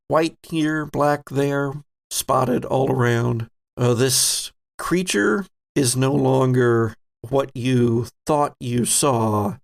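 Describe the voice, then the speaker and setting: A man running a tabletop horror game as game master, narrating dramatically in deliberate short phrases with pauses. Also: medium pitch, vocal fry.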